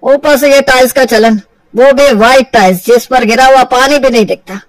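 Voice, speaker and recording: A woman's voice: high-pitched, normal speed, no echo, distant mic, natural voice, rough recording. Woman playing a matter-of-fact male family doctor. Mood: calm, explaining. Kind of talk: acted Hindi audio storybook.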